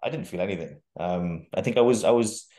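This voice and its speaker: A man's voice: sits low, a little fast, mellow. A young adult man, a guest fitness coach, talking casually on a video call, rambling with restarts and the odd swear word. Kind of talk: casual interview chat. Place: bare room at home, indoors. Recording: echoey room.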